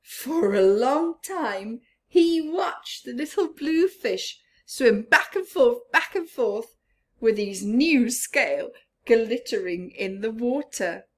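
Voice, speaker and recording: A woman reading a children's storybook aloud expressively, with chatty asides and often hushed tones. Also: fairly high pitch, expressive, slow. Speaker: storyteller, teacher-like reader.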